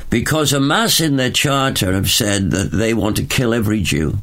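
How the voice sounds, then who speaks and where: A man's voice: fairly low pitch, expressive, normal speed, fairly bright tone. A Welsh man in his seventies, a pastor who is a studio guest, preaching emphatically in an interview. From Wales. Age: 70s. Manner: emphatic, preacherly, jokes with a chuckle.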